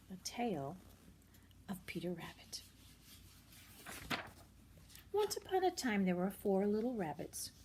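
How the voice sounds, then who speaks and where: Older woman reading a storybook over background noise. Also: medium pitch. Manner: expressive, pausing to explain to young listeners. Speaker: storyteller grandmother reading aloud. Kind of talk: animated children's picture-book read-aloud with simple asides.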